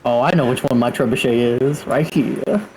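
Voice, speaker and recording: Casual male tabletop player, mid-pitched voice. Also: moderate pace, a little mellow, poor audio. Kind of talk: casual chat, describing his character's actions. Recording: faint background noise.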